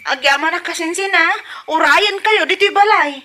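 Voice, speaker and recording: A woman's voice: extremely high pitch, moderate pace, bright, forceful tone, a little hiss or hum. A woman playing a dutiful, outspoken grown daughter in an audio comedy-drama, earnest and admonishing. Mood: anxious. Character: dutiful, outspoken grown daughter looking after her mother.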